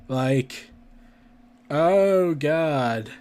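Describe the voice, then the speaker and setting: A man's voice: fairly high pitch, expressive, very slow, a little mellow, smooth. A man hosting a casual entertainment and gaming news commentary, stumbling as he reads and adding chatty asides. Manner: stumbling when reading aloud, with chatty asides. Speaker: podcast host.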